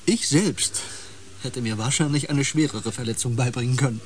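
A man playing a haunted, intense empath and space explorer in a radio drama, brooding and halting in fragmented phrases. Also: mid-range voice, a little hiss or hum, natural voice. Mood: agitated.

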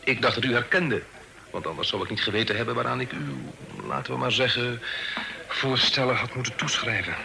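An actor in a radio play voicing a polite, formal, obliging gentleman traveller. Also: medium pitch, a little fast, creaky. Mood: polite, guarded. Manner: polite, formal, well-mannered. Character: courteous, obliging gentleman traveller escorting a young lady. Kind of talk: Dutch radio play acting. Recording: noisy old radio recording, clicks.

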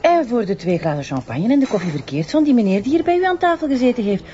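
An actress playing a polite but firm café waitress in a radio drama. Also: female voice, a little high, big pitch swings, fast, dark tone. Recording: slightly dull lo-fi audio.

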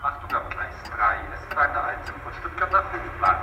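Radio play: formal railway announcer, fairly high male voice, dull lo-fi sound with noise. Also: big pitch swings, normal speed. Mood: official. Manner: formal, informational. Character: railway station announcer calling out trains. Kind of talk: station announcement. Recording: muffled, noisy station announcement sound.

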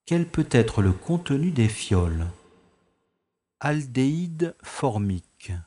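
A man's voice: mid-range voice, big pitch swings, slow, warm tone. An actor playing a wry male secret agent who narrates his own sneaking through a manor in a sci-fi audio drama. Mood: wary. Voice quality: dry, ironic inner monologue. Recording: echoey room with long reverb.

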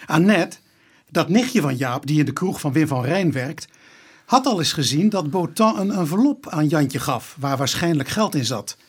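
An actor playing a matter-of-fact police investigator in a radio drama, explaining. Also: male voice, fairly high pitch, animated, moderate pace, husky.

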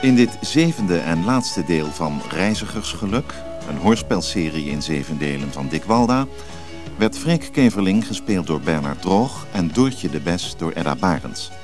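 A man's voice: low-pitched, lively intonation, measured pace. A man reading closing credits as a formal, scripted radio drama announcement. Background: music.

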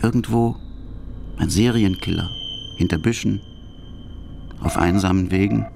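Gravelly low male documentary narrator. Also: a little slow, darkish tone, slight background noise.

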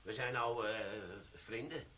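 An actor playing a sly, glib army quartermaster sergeant in a radio play, talking with cheeky, wheedling banter. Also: male voice, mid-range voice, unhurried, pushed and bright, slight background noise.

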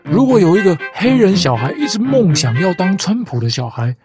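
A woman's voice: on the low side, big pitch swings, a little fast. A woman hosting a comic storytelling show, lecturing in a scripted, argumentative way and ending her points with rhetorical questions. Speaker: storyteller host. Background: background music.

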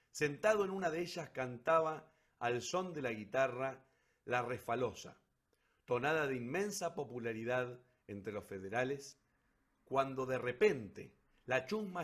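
A male narrator with a mid-pitched voice tells the story of a radio drama. The delivery is scripted and dramatic. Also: fairly bright tone.

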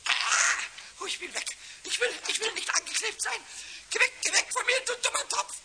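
A man playing a cheeky, childlike little kobold in a children's radio play, with a squawky voice and excitable, repetitive stammering chatter. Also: normal speed. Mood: whining, panicky. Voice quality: high, squawky cartoonish voice. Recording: hissy, clicky old radio play recording.

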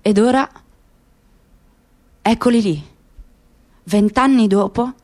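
A woman's voice: medium pitch, slow pace, darkish tone. A woman giving a dramatic literary reading as a narrator in radio theatre. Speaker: narrator, actress.

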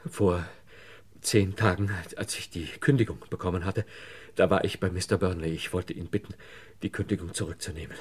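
An actor playing a desperate, broken man under police questioning in a radio crime play, halting and pleading. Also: low voice, fairly even intonation, husky. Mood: anxious, confessing. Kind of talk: German radio crime play acting, 1950s to 80s.

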